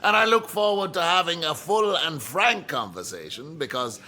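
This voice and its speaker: An actor playing a provocative, condescending professor speaking emphatically in a radio drama. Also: male voice, on the high side, lively intonation, moderate pace, bright, forceful tone. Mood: formal, pleased. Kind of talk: radio drama acting, public lecture.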